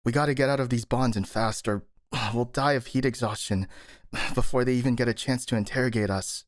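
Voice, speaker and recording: A man's voice: mid-range voice, steady tone, a little fast, no room sound, studio quality, lightly acted. A man playing a skeptical, worrying captured master ninja, sighing and hesitant, in a scripted ASMR audio roleplay. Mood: flustered.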